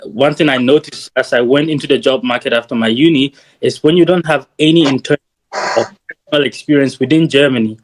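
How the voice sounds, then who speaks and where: Guy in his 30s, guest on a chat, measured and thoughtful, lots of ums. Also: medium pitch, poor audio. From Ghana. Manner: measured, thoughtful, frequent "um" pauses. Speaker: guest, doctoral student. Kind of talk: casual interview talk.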